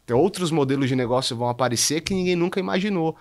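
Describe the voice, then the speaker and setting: A man's voice: fairly high pitch, animated, rapid-fire, darkish tone. A Brazilian man as a podcast guest, chatting informally with slang and rambling asides. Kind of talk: casual chat.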